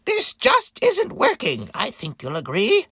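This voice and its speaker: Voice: high voice, wildly swooping pitch, unhurried, creaky, sharp tone, breathy edge, no echo, clean studio sound, voice pushed up, heavily acted. A performer voicing a wicked old witch from a children's storybook, irritable and bossy, snapping out scornful commands. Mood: angry, impatient.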